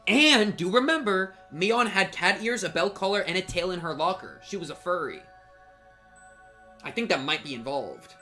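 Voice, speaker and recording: A man's voice: high voice, expressive, moderate pace, sharp tone, faint music behind. A young man giving casual, slangy playthrough commentary on a visual novel and reading text aloud. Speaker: streamer playing a visual novel.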